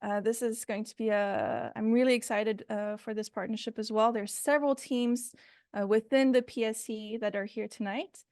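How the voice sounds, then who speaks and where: A woman with a fairly high voice hosts an online event informally. She introduces and hands over to others, and the sound is tight and dry with no room around it. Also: steady tone, normal speed, a little mellow, no room sound. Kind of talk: informal hosting of online meeting.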